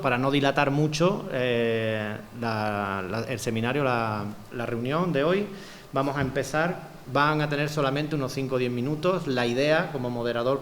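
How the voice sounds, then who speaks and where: A man moderating a conference panel and introducing the speakers, talking fluently with frequent small restarts and fillers. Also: mid-range voice. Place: conference hall. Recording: long hall reverb, faint room noise.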